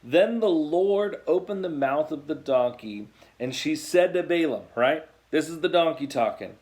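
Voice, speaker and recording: An American man, a youth pastor, tells a Bible story to junior high students in a conversational way, reading scripture and breaking off to comment. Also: animated, measured pace, a little mellow.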